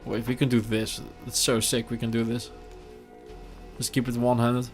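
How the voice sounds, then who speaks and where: A man's voice: medium pitch, normal speed. A man in his thirties with a Dutch accent, chatting casually with his live-stream chat while playing a game. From Netherlands. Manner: chatty, relaxed, answers chat between game moves. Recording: game music under the voice. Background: game music.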